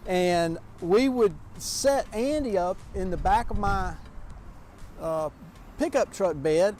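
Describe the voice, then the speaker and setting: A man's voice: high-pitched, slow, a little mellow, slight background noise. A sculptor talks children through his outdoor sculptures in a folksy, chatty way, full of restarts and fillers. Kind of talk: outdoor walking tour talk to children.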